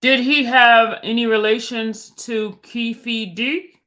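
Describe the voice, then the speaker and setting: A woman reading a male prosecutor's questions aloud in a formal, measured manner. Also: on the high side, fairly even intonation, a bit bright.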